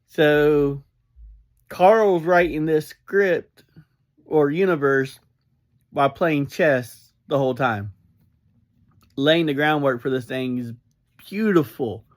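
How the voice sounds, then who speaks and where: A man with a slightly high voice talks very slowly through a casual fan review. His phrasing is halting, broken up by pauses, like someone explaining things off the cuff to listeners. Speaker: podcast reviewer.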